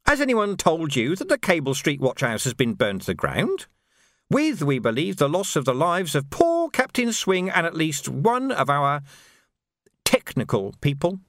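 A man's voice: a little high, wildly swooping pitch, moderate pace, fairly bright tone, no echo, clean studio sound, pitched above the performer's own voice, exaggerated voice. A man voicing a menacing, unhinged male sergeant who talks with sneering mock politeness.

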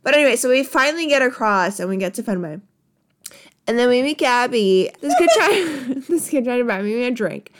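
A woman's voice: a little high, expressive, fairly quick. A woman in her twenties, co-hosting a podcast, telling a story in casual chat, laughing and acting out quotes, in a living room. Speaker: podcast co-host.

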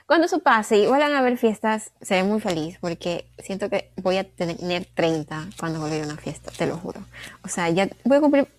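Woman, twenties, chatty, casual podcast talk, average pitch, dry sound. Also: expressive, brisk, dry recording. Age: 20s. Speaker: podcast co-host. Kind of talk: casual chat.

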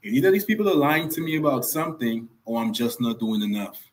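A man's voice: medium pitch, animated, fairly quick, slight background noise. A young man from Nigeria, a guest on a podcast, telling his story in an earnest way and repeating points for emphasis. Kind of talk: casual podcast interview.

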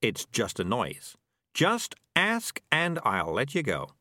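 Guy doing a smug defensive cat for an audiobook, wry, super animated up and down. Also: medium pitch, takes it slowly, no room sound, pitched above the performer's own voice, full character voice. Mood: menacing, smug. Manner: self-justifying, dryly wry.

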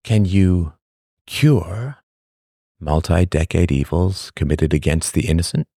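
A man hosting a podcast, reading a scripted monologue full of rhetorical questions and emphatic pauses. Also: low voice, mellow, slightly rough, no room sound, studio quality. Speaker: podcast host.

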